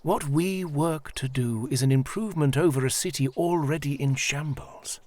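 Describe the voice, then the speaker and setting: A man playing a paternal, devout high priest in an audio drama, speaking in a measured, formal and placating way. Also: darkish tone, natural voice. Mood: firm, admonishing.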